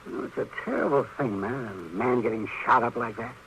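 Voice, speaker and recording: An American man acting a crusty, excitable older town doctor, fussy and halting, in a 1950s Western radio drama with a hissy old recording. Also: medium pitch, husky, low-quality recording. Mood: agitated, relieved.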